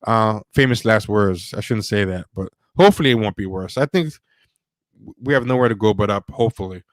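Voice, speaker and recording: A man's voice: lowish voice, fairly quick, no room sound, clean studio sound. A man hosting a casual livestream, reviewing movies in a rambling, opinionated way with lots of fillers and restarts. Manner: reads viewer donations aloud. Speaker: podcast host.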